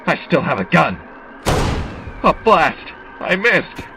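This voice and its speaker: A man's voice: fairly high pitch, sing-song, takes it slowly, vocal fry, background noise, heavily acted. A man playing an earnest, desperate survivor hunting a killer in an audio drama, dramatically thinking aloud. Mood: urgent, tense.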